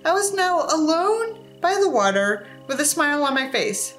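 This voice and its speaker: A woman's voice: sits high, big pitch swings, normal speed, a bit bright, faint music behind. A young woman reading a novel aloud with sarcastic, sweary commentary in a theatrical way. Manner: theatrical, acts out dialogue in raised tones. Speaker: book reviewer and host. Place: indoors in front of a tinsel backdrop.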